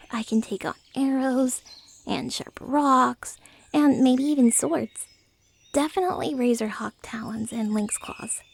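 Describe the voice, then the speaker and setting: A woman voicing a friendly, naive, excitable dragon in humanoid form, chatty and playful in a soft ASMR roleplay style. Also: fairly high pitch. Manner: chatty, playful, casually blunt about eating people.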